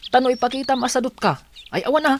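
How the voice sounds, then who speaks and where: A man playing a brisk, bossy young farm worker in a radio comedy drama. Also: extremely high pitch, lively intonation, slight background noise. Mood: brisk, urging. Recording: music under the voice.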